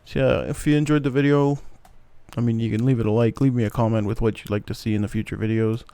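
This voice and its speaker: A young man hosting a gaming channel, talking calmly and softly with some rambling restarts as he plays a puzzle game. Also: medium pitch, fast talker, gravelly, clean tone. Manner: soft-spoken, calm, rambling with restarts. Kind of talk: relaxed gameplay commentary.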